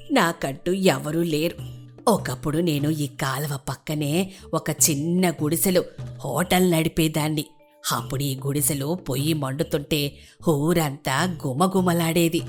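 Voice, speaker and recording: A woman voicing a kind, sorrowful old village grandmother in a plaintive way for a dramatised moral story. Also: low-pitched. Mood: wistful. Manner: plaintive, endearing address to the listener.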